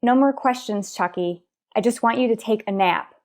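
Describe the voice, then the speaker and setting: A woman playing a worried but composed mother and wife in an old-fashioned radio soap, with proper, deliberate phrasing. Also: fairly high pitch, a little mellow, lightly acted. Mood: gently coaxing.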